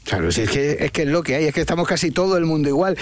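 A man presenting the news in a chatty, expressive way, with frequent exclamations and a conversational tone. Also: a little high, very fast, no room sound. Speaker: news anchor.

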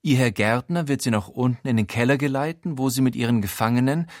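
A man's voice: medium pitch, fast, no echo, studio quality, close to an everyday voice. A man voicing a level-headed crook in an audiobook, formal, orderly and businesslike. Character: level-headed, formal male negotiator among kidnappers.

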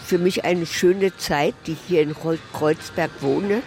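An older man, a longtime local resident, answering a street interview in a slow, reflective way. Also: on the high side, vocal fry, over music.

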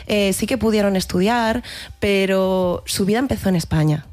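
Woman, thirties, mixed Catalan-Madrid accent, mid-pitched voice, reflective interview guest, thoughtful pauses. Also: darkish tone, faint music behind. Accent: mixed Catalan and Madrid Spanish. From Barcelona, Spain. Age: 30s. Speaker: actress, guest. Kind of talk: reflective personal conversation.

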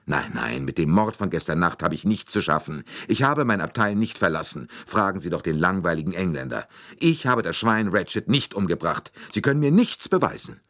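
A man's voice: a bass voice, expressive, vocal fry, heavily acted. A man doing a furious Italian chauffeur. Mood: defiant. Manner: loud, quick and indignant. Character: defiant Italian former chauffeur, hot-tempered man.

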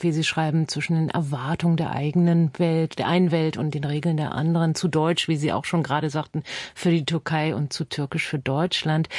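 A woman's voice: on the low side, darkish tone, dry recording, studio quality. A woman conducting an interview, asking formal, articulate questions in long, composed sentences.

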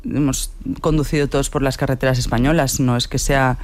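A man's voice: mid-range voice, fairly even intonation, brisk, dry recording. A man, a director, answering interview questions thoughtfully with frequent hesitations. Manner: thoughtful, hesitant, many "em" and restarts.

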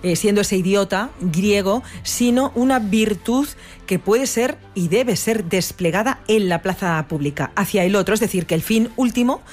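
A woman hosting a talk, calmly recapping and linking her guest's ideas. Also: mid-range voice, faint music behind. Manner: measured, recapping the guest's points. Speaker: host. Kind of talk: radio talk, summing up.

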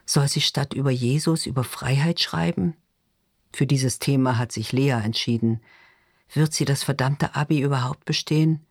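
A man's voice: mid-range voice, moderate pace, breathy edge, no echo, clean studio sound. A man narrating literary fiction in a scripted audiobook reading style.

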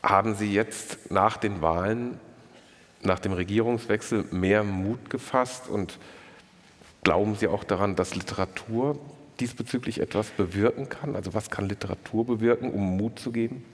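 A man hosting a live literary event, putting prepared interview questions in a measured, polite and formal way. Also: fairly low pitch. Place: large echoing room at a live literary event. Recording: reverberant hall.

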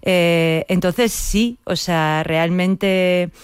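A woman's voice: lowish voice, drawn-out words, clean tone, no echo, clean studio sound. A woman speaking as an interview guest, a playwright, reflective and conversational, often checking for agreement with short tag questions.

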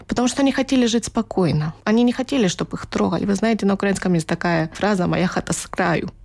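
A woman from Ukraine, an author being interviewed, speaking thoughtfully and pausing to search for words. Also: medium pitch, gravelly, darkish tone. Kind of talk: reflective interview talk.

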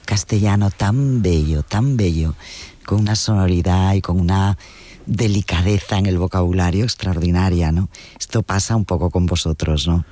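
A man's voice: low-pitched, normal speed, warm tone, no room sound. A man interviewing a writer, asking long, musing questions that often end with a tag question. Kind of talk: conversational interview.

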